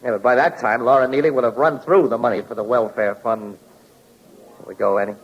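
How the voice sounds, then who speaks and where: An actor playing a brisk, determined newspaper crime photographer in a 1940s radio drama, with clipped, informal tough talk and a husky voice. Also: male voice, mid-range voice, steady tone, heavy background noise, close to an everyday voice. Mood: brisk, probing. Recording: dull, lo-fi 1940s radio recording.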